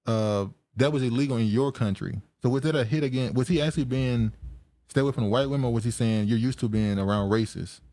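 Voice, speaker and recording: A man hosting a casual podcast chat, musing aloud with halting restarts and frequent laughs. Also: mid-range voice, dark tone, dry recording. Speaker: podcast host.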